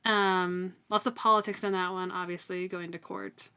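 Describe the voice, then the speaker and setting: A woman's voice: fairly low pitch, flat delivery, normal speed, sharp tone, clean tone. A young woman co-hosting a book podcast, chatting casually and enthusiastically. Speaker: podcast co-host. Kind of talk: casual book-club chat with some prepared write-ups read out.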